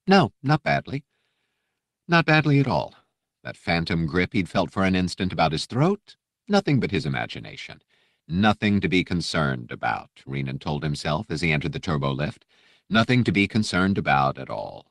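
Male audiobook narrator, extremely low voice, dramatic fiction read, very dry close sound. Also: fairly bright tone, dry recording.

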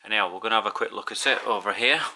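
A man's voice: on the low side, rapid-fire, pushed and bright, slight background noise, poor audio. A middle-aged man with a Yorkshire accent giving a plain-spoken, slightly hesitant casual vlog narration at home. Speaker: video host. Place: indoors in a home living room.

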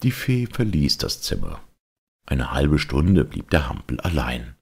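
A man narrating a children's audiobook in an expressive, theatrical storytelling style. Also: a bass voice, unhurried, gravelly, breathy edge.

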